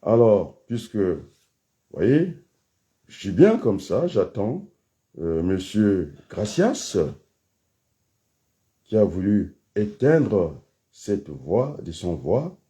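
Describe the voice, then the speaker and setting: Deep dark-voiced man, rambling and animated. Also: extremely slow, long pauses. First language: French. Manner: rambling, hesitant with many fillers, rising into emphatic outbursts. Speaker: political commentator. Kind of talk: political monologue to camera.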